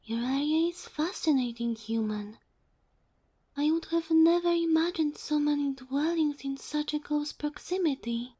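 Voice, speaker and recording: A woman voicing a sweet, polite kitsune familiar in an ASMR roleplay, soft and whispery, with formal, bashful phrasing. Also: sits high, unhurried. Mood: apologetic, polite. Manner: formal, courteous, slightly bashful. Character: sweet, polite kitsune fox-girl familiar, female, ageless.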